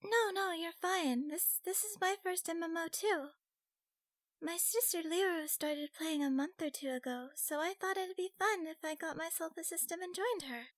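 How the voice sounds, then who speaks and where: A young fox girl from a fantasy game story, acted out by a male narrator. The voice sits very high and sounds cutesy and nervous. She stammers shyly, yet has a feisty streak. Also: normal speed, a bit bright. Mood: friendly. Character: shy, feisty young fox girl gamer, easily flustered.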